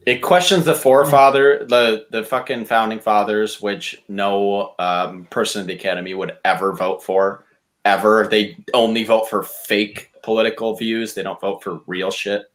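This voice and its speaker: A man's voice: lowish voice, steady tone, normal speed. A blunt, sweary man bantering casually as a podcast contestant.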